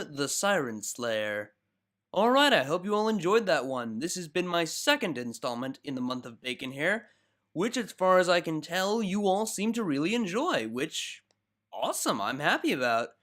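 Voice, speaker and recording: A man's voice: high-pitched, very animated, moderate pace, fairly bright tone. A man reading comedic fan fiction aloud as a host, with a theatrical, mock-dramatic delivery. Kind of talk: animated comedic story narration.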